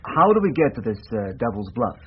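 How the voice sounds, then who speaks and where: An actor playing a laid-back, soothing hippie man in a radio drama, unhurried and sparing with words. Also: mid-range voice, sing-song, dull audio, slight background noise, close to an everyday voice. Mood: calm.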